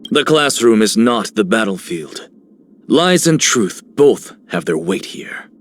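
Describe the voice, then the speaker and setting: An actor playing an aloof, clever, dry scholar and teacher in a video game. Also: male voice, lowish voice, sing-song, takes it slowly, exaggerated voice. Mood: wry, lecturing. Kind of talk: video game character voice lines.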